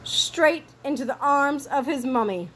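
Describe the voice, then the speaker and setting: A woman storyteller reading a children's story aloud with expressive, theatrical emphasis. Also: sits high, a little slow, a little hiss or hum.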